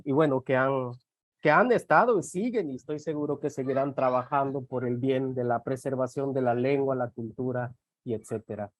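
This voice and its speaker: A man's voice: mid-range voice, normal speed, no echo. Maya-accented guy on a video call, lots of ums, dry close sound. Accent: Yucatec Maya. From Mexico. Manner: hesitant, many fillers. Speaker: panelist. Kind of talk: online panel talk.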